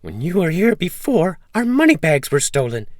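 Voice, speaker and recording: A man's voice: a little high, wildly swooping pitch, moderate pace, no room sound, studio quality, voice pushed up. A man voicing a giant's grumpy, fretful wife in a storybook, with a scolding, exclamatory manner. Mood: glum, suspicious. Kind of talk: storybook character voice.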